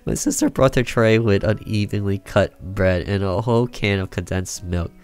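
A man's voice: fairly low pitch, steady tone, normal speed, a little mellow, smooth, soft background music. A man reading a horror visual novel aloud as a gaming video narration, in a storytelling style.

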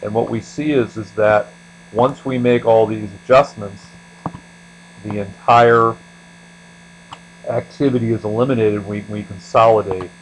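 A man with a fairly low voice teaches in a deliberate, explanatory way, as in a screencast tutorial. His phrases tend to trail off at the ends. A faint electrical hum sits behind the voice. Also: poor audio. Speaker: accounting instructor. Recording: steady mains hum behind voice.

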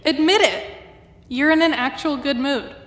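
An actress playing a sassy, teasing imaginary muse in an audio drama, with an echoing effect on the recording. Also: female voice, sits high, normal speed, a bit bright. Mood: sassy, cheeky. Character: cheeky female muse, an imaginary fictional character. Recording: reverberant, echoing hall effect.

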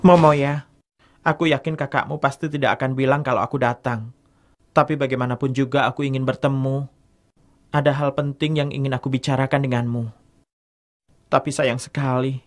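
A man voicing a shy, sincere teenage boy with a halting, hesitant way of talking in a cartoon dub. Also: medium pitch, steady tone, lightly acted. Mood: earnest.

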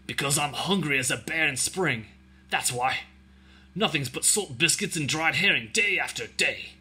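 A man's voice: a little high, animated, normal speed, sharp tone, slightly rough, heavily acted. A man voicing a fat, greedy, bullying British soldier in a children's storybook, with grunting, snarling, rustic old-fashioned speech. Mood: gruff, teasing.

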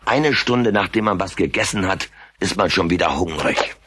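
An actor voicing a droll, hungry, wisecracking furry alien in an audio drama. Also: male voice, low voice, moderate pace, lightly acted. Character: wisecracking, ever-hungry furry alien telling his story. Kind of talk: German audio drama dialogue and first-person narration. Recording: faint hiss on old cassette.

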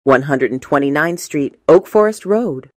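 A woman's voice: sits low, animated, moderate pace, dry recording, clean studio sound. A woman reading scripted, automated-style train announcements in formal, clipped phrases. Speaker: transit announcer.

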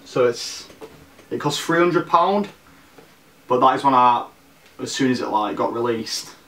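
A teenage man with a Leeds accent gives an informal review to camera at an unhurried, slow pace. His delivery is halting, with repeated words. He sounds distant from the mic in an echoey room. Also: mid-range voice, sharp tone. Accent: Leeds, Northern English. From Leeds, northern England. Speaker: fashion vlogger. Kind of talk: casual product review to camera. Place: small bare room indoors.

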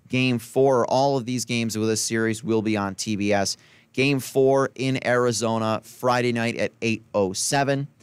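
A young man hosts a college radio sports podcast, reading off game times and scores in a loose, chatty way and breaking off for quick asides. His voice is smooth and resonant. The close studio mic sounds dry and tight. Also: medium pitch, no echo. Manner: casual, chatty, self-correcting with asides. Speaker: podcast host. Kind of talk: solo sports podcast rundown.

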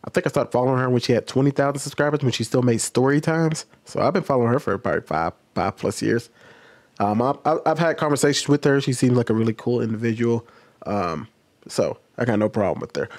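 A man's voice: mid-range voice, fast talker, darkish tone. An American man in his thirties giving casual opinion commentary as a host, conversational and often posing questions to himself. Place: small home room studio. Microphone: microphone on boom arm.

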